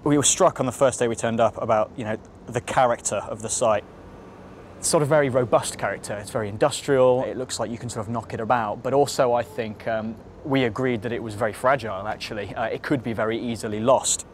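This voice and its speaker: A man, an architect, speaking in a measured, thoughtful way in a documentary interview. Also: mid-range voice, steady tone, a little hiss or hum. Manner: measured, thoughtful, with occasional fillers.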